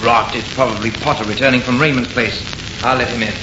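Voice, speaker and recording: An actor playing an exasperated, short-tempered painter husband in an old-time radio horror drama. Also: male voice, mid-range voice, fairly even intonation, fast talker, close to an everyday voice. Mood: irritable. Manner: impatient, irritable, theatrical. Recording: muffled, noisy, echoey old radio recording.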